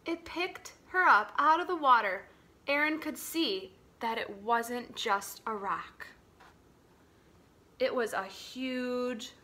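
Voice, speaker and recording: A woman reading a children's picture book aloud as a teacher would, dramatically, dropping to a hush and swelling for emphasis. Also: sits high. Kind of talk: expressive children's storybook read-aloud.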